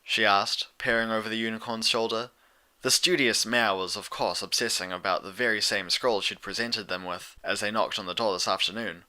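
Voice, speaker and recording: A man's voice: fairly low pitch, fairly even intonation, normal speed, pushed and bright. A man reading a story aloud as a scripted narrator. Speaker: fan fiction reader.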